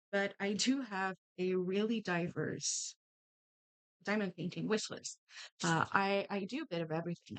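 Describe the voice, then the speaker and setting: A woman's voice: mid-range voice, vocal fry, no room sound. A young woman from Quebec with a Quebec French accent, a native French speaker chatting casually and rambling with many restarts while she crafts. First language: Canadian French. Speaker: crafting channel host.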